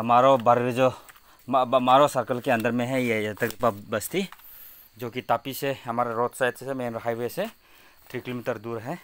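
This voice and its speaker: Halting Northeast Indian man, even pitch. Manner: halting, repetitive, simple phrasing. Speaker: vlogger exploring a cave. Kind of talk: casual on-location narration. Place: outdoors at a forested cave mouth. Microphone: handheld phone.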